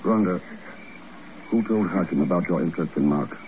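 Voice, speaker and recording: Man playing a cool, low-voiced wry secret agent. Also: normal speed, darkish tone, a little hiss or hum, natural voice. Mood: calm, measured. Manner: dry, understated sarcasm. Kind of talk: 1950s radio drama acting. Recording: muffled vintage 1950s radio recording with music.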